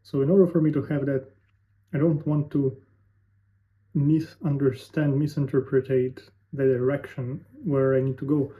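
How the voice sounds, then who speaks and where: A man's voice: mid-range voice, flat delivery. Musing young man, tinny lo-fi sound. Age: 20s. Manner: musing, halting, thinking aloud with fillers. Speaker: vlogger. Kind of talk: unscripted talking to camera.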